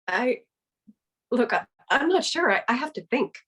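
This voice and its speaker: A woman's voice: a little high, big pitch swings, a little slow, a bit bright, breathy edge, full character voice. An actress reading a pregnant fifteen-year-old girl who speaks earnestly and firmly at a table read. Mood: unsure.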